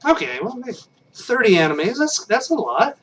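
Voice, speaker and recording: A man's voice: very high voice, sing-song, normal speed, fairly bright tone, distant mic, a little hiss or hum. Rambling man in his thirties on webcam. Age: 30s. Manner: rambling and self-deprecating, many restarts. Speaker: live stream host. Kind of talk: casual chat with viewers.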